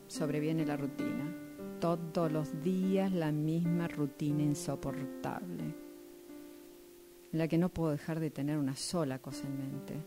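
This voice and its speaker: Deep-voiced woman reading over music. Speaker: radio drama workshop student. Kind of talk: dramatic monologue reading.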